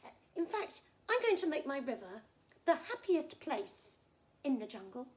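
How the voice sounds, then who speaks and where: Woman doing a high, whiny, eager storybook crocodile. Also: sing-song, normal speed. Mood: excited, generous. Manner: stammering, pleading, exclaiming. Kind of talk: children's storybook character voice.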